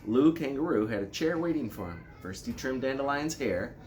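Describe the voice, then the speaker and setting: A man reading a children's storybook aloud like a school principal, with deliberate, expressive storytelling. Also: mid-range voice, a little hiss or hum.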